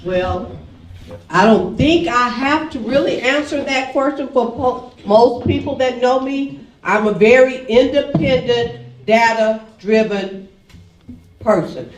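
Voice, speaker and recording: A man answering as a candidate at a public forum, measured and deliberate. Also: very high-pitched, slight background noise. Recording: echoey hall from far off.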